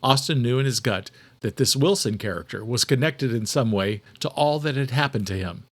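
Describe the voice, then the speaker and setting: A man's voice: mid-range voice, normal speed. A man narrating a scripted audiobook thriller in a dramatic storytelling style.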